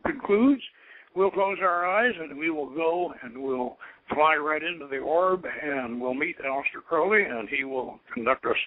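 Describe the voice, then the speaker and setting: A man leading a ritual as host, moving between informal instruction and solemn invocation in a halting, deliberate manner. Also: medium pitch, no room sound. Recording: narrow tinny phone-like radio sound.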